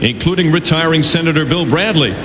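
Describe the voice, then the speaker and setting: A man's voice: on the high side, brisk, a bit bright. A man delivering a scripted sports report on the radio. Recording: very noisy, long hollow reverb.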